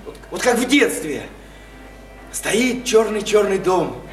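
An actor playing a bewildered, awkward young man in a radio drama, stammering and halting over his words. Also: high-pitched, lively intonation, roomy sound, distant mic, soft background music. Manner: stammering, halting, drawn-out delivery. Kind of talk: stage-style radio drama acting.

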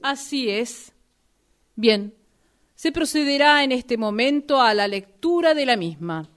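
A woman's voice: on the high side, lively intonation, takes it slowly, fairly bright tone, clean tone. Formal, officious notary, played by a woman. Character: formal, officious notary addressing heirs at a will reading. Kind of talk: radio drama acting.

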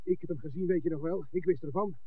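A man's voice: on the high side, close to an everyday voice. An actor playing a cowardly smuggler henchman in a radio drama, nervously pleading to save himself. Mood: panicky. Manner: hurried, pleading, self-protective. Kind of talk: 1960s Dutch radio play acting. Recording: muffled, hissy 1960s radio recording.

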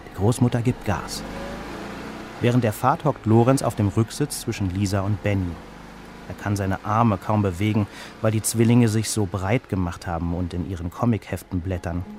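A man's voice: fairly low pitch, background noise. A man narrating a children's story in a scripted storybook style.

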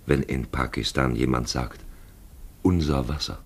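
A man narrating a literary radio play as a grave storyteller, expressive and deliberate in his phrasing. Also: very deep voice, a little hiss or hum. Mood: grave, intent. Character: grave male storyteller voicing a traveller's thoughts.

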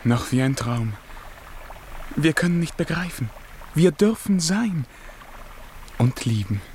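An actor playing a dazed, grateful young lover in 1950s German radio drama style. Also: male voice, on the high side, sing-song, slow, darkish tone, a little hiss or hum, full character voice.

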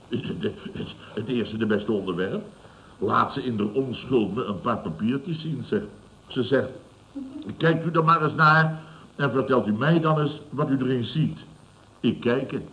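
A man's voice: mid-range voice, unhurried, lightly acted. An actor in his fifties playing a self-satisfied, blustering building boss in a vintage radio comedy, chuckling and repeating himself. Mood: indignant, amused. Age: 50s. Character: gruff, self-satisfied older male building boss telling tales. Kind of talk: 1970s Dutch radio comedy acting. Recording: muffled, noisy vintage radio recording.

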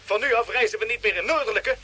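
A man's voice: extremely high pitch, fast talker, bright, forceful tone, husky, exaggerated voice. A man playing a resolute expedition commander in an old science fiction radio drama, speaking decisively. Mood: commanding, urgent.

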